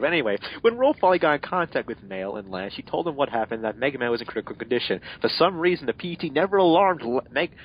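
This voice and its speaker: A man's voice: medium pitch, a little hiss or hum. A young man giving an amateur dramatic reading of fanfiction, stumbling over the text and adding sarcastic asides. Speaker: fanfiction reader and commentator. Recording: dull, slightly muffled home recording.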